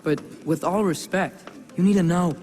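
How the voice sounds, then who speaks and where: A young actor playing an earnest, guarded teenage half-giant in a video game, hesitant yet insistent. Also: male voice, high voice, moderate pace, lightly acted. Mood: wary, earnest. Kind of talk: naturalistic video game cutscene acting. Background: background music.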